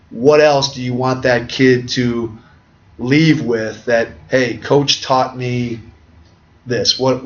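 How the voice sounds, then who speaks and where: A middle-aged man hosting a podcast interview, talking reflectively in long, winding sentences. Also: mid-range voice. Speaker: podcast host. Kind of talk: conversational interview. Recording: dull lo-fi audio.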